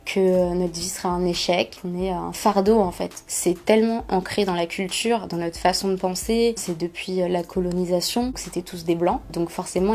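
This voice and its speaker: A young woman giving a personal testimony in an interview, speaking softly and hesitantly with many pauses and fillers. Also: fairly low pitch.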